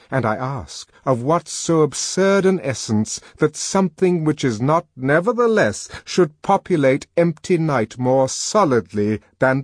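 A man playing a solemn male poet who recites his own verse with deliberate, incantatory pauses in an audiobook. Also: medium pitch, dry recording, clean studio sound, voice pushed up. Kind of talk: poetry recitation within an audiobook.

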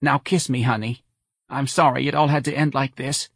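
A man's voice: mid-range voice, steady tone, normal speed, creaky, a bit bright, slightly rough, no echo, clean studio sound, pitched above the performer's own voice. A man voicing a timid, fretful older mother with a New Orleans accent, plaintive and hesitant, in an audiobook story. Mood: sad, pleading.

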